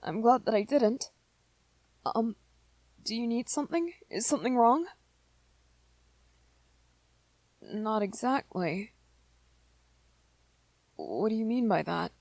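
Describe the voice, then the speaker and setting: A woman playing a shy, anxious young male vampire boyfriend in an ASMR roleplay, soft-spoken and hesitant, with apologetic stammers. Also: a little high, animated, measured pace, pauses often, dry recording. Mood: anxious, tender. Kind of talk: ASMR roleplay, scripted one-sided dialogue to listener.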